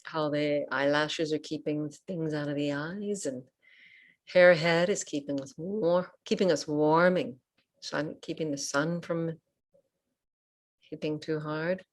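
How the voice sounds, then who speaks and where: A woman leading a guided meditation as a teacher, speaking gently in short, unhurried phrases with pauses. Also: low voice, fairly even intonation. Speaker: Buddhist nun and meditation teacher. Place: online group session over video call.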